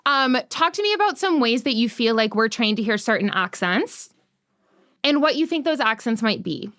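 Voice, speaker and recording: A young woman talks easily on a podcast, her voice smooth and clear and her pitch sitting in the middle. She fills her sentences with casual "like"s as she leads the talk. The sound is close and dry, with no room behind her. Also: animated, moderate pace, fairly bright tone, no echo. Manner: chatty, frequent "like" fillers, leads the questions. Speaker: podcast co-host. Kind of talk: conversational podcast chat.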